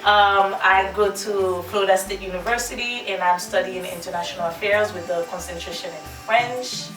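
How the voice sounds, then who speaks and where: A young woman from Haiti, a student guest in a casual chat, playful and quick to jump in. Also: medium pitch, distant mic. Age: young adult. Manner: playful, talks over others. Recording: echoey room, off mic, music behind.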